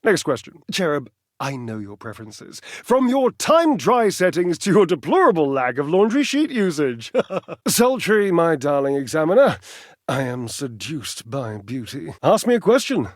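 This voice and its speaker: Game role: vain, flirty animate dryer. High male voice, wildly swooping intonation, florid wit, theatrical flourish. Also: big pitch swings, a little slow, dry recording, clean studio sound. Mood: playful, theatrical. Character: flamboyant, vain, witty talking clothes dryer, male, wistfully lovesick. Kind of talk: video game character acting.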